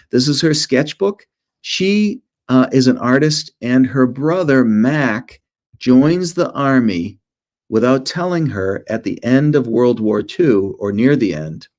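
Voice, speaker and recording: A man's voice: medium pitch, darkish tone. A middle-aged man, an author, presenting informally to schoolchildren in short storytelling phrases with conversational asides.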